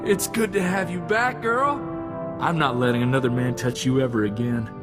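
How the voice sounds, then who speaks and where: A man's voice: medium pitch, moderate pace, music in the background, close to an everyday voice. An actor playing an earnest, sentimental farm boy gunslinger in a video game. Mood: delighted, doting. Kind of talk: video game character acting.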